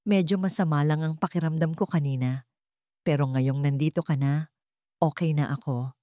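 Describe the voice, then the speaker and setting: A woman's voice: low-pitched, steady tone, normal speed, mellow, no room sound, clean studio sound, close to an everyday voice. A woman voicing a frightened, hesitant woman in a storybook who pretends all is normal. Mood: nervous. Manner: nervous, hesitant.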